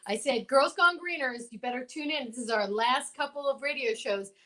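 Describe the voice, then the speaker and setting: A woman co-hosting a radio show with lively casual banter, excitable and playful, often exclaiming. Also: high voice, moderate pace.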